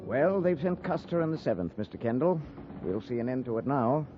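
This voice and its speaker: An actor playing a confident, hawkish army lieutenant with an American accent in a 1950s radio drama. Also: male voice, mid-range voice, lively intonation, brisk, close to an everyday voice. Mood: assured, eager. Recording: dull old radio, faint background noise.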